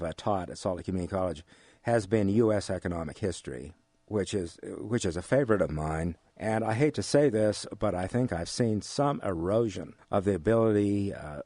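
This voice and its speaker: An older American man giving thoughtful interview answers in a measured, formal way, pausing as he searches for words. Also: sits low, darkish tone, dry recording. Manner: measured, deliberate, formal wording with hesitant pauses. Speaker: interview guest, college history teacher. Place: radio studio.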